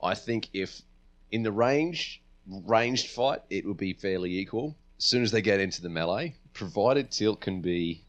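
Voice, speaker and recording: A man co-hosting a podcast, casually debating science fiction in a measured, deliberating way. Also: on the low side, expressive. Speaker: podcast co-host.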